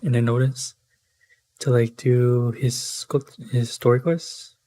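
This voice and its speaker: A man's voice: mid-range voice, steady tone, slow, warm tone. A young man doing casual live gameplay commentary, slangy, rambling and self-deprecating. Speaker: game streamer.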